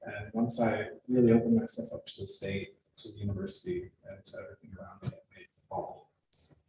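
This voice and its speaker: A man's voice: fairly low pitch, fairly even intonation, a little fast, mellow. A young man from Michigan, a law student answering panel questions in an earnest way and laughing easily. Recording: very echoey room, faint noise.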